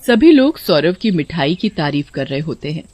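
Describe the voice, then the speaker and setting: A woman's voice: low-pitched, very animated, moderate pace, a little mellow, no room sound. A woman reading scripted story narration as the narrator.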